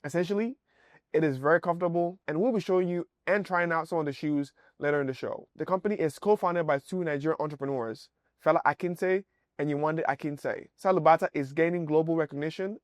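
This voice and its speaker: A man's voice: fairly high pitch, fairly quick, no echo, clean studio sound. A man hosting an entrepreneur show, opening it in an upbeat, emphatic way before interviewing his guest. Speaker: podcast host. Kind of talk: enthusiastic show intro and interviewing.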